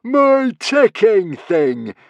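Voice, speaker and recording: A man voicing a maniacal, gloating Viking villain for a storybook, bellowing in drawn-out, grandiose phrases. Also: a little high, sing-song, dry recording, highs cut off, pitched above the performer's own voice. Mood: delighted menace.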